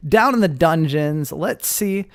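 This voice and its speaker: A man's voice: fairly high pitch, lively intonation, a little slow, a bit bright. Playful man hosting gaming roleplay. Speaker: gaming video host. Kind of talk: casual Minecraft roleplay commentary.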